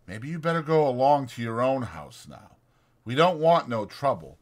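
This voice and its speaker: A man voicing a bitter, proud, cynical stable hand with blunt, rough rural speech for a dramatized audiobook. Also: mid-range voice, animated, moderate pace, pitched above the performer's own voice, natural voice. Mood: wary.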